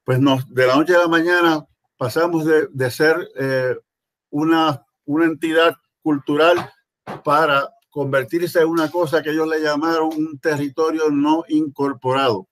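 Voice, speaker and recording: A man's voice: a little high, steady tone. Earnest Puerto Rican man on a video call. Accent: Puerto Rican Spanish. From Puerto Rico. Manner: earnest, with many pauses and fillers. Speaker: guest caller. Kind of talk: online video call talk. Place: small room indoors. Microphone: laptop webcam on video call.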